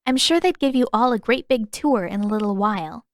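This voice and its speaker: A woman's voice: a little high, fairly quick, no room sound, lightly acted. A woman voicing a blunt, bossy little girl of about ten in an audiobook story. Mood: stubborn. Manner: blunt, authoritative, childlike.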